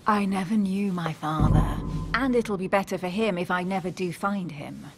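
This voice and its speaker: A woman's voice: on the low side, normal speed, natural voice. An actress playing a guarded, witty, self-possessed woman of a secret society in a video game, composed and articulate. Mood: dry, dismissive. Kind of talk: dramatic video game dialogue. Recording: music under the voice.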